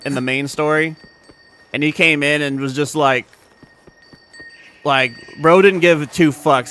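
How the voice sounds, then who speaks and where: A man's voice: fairly high pitch, a bit bright, clean tone. A young man chatting casually to his stream audience, laid-back and profane, with many fillers and restarts. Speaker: game streamer.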